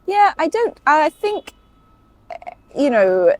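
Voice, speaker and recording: A woman's voice: sits high, big pitch swings, slow, dry recording. A woman with a British accent, a paediatric doctor who grew up in Saudi Arabia, talking freely as an interview guest. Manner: chatty, talkative, many fillers and asides. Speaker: guest, paediatric emergency consultant. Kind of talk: casual interview chat.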